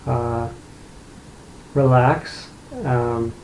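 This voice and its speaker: A man leading an unscripted guided meditation, speaking gently and unhurriedly with long pauses between phrases. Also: mid-range voice, level tone. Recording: roomy echo, light background noise.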